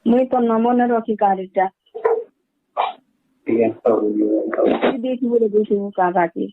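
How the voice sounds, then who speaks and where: A woman's voice: mid-range voice, very animated, slow, creaky, background noise. A middle-aged woman, insistent and repetitive, defending herself on a recorded phone call. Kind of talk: heated phone argument in colloquial speech.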